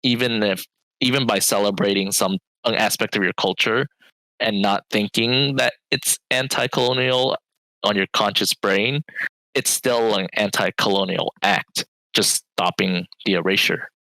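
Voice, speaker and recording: Male podcast co-host, lowish and bright-toned, earnest with light laughs, dry mic. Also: a little slow, no echo, poor audio. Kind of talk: casual podcast chat.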